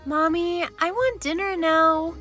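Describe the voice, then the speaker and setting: A woman's voice: very high-pitched, takes it slowly, pushed and bright, faint music behind, pitched above the performer's own voice, full character voice. A woman voicing an impatient, demanding little boy frog in a children's storybook, whiny and insistent.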